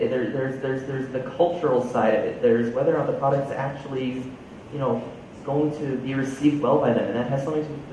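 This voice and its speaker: A man's voice: darkish tone, recorded from a distance. A young man from New Jersey with an American accent, giving an earnest, conversational stage talk that switches between English and Mandarin, speaking into a headset mic on a large auditorium stage. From United States. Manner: earnest, conversational, repeats words when restarting. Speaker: conference speaker. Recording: boomy hall sound, muffled, faint rumble.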